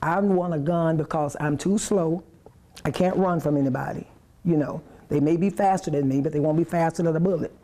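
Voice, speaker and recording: A woman in her sixties gives informal interview answers. Her voice is soft-edged and mellow, with a low, relaxed sound for a woman. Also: fairly quick. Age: 60s. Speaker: student in a handgun class.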